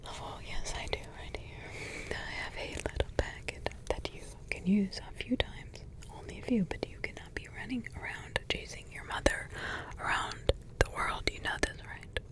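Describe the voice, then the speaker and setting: A woman voicing a protective witch grandmother in an ASMR roleplay, in a soft whisper with slow, rambling, repetitive asides. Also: mid-range voice, close to an everyday voice, low-quality recording. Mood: hushed, caring. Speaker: ASMR creator.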